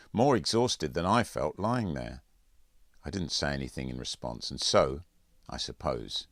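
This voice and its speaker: Male audiobook narrator, deep register with lively inflection, dry close sound, wry literary delivery. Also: dry recording. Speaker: author reading own audiobook. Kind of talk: scripted first-person literary narration.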